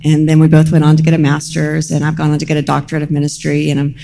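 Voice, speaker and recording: A woman's voice: sits low, completely flat delivery, racing, gravelly, warm tone. A middle-aged woman from Texas, partly raised abroad, answering conversationally as a guest in an interview on a church stage. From Texas, United States, partly raised abroad. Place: large church room, on stage.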